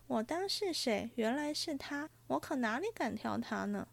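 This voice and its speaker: A woman voicing a sharp-tongued, tearful young noblewoman in a storybook reading, with a plaintive, reproachful manner. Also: fairly high pitch, lively intonation, normal speed, vocal fry, dry recording, voice pushed up. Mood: teasing.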